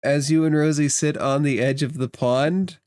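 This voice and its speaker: A man running a tabletop roleplaying game as game master, narrating in a measured, deliberate way. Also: a little high, steady tone, crowd noise.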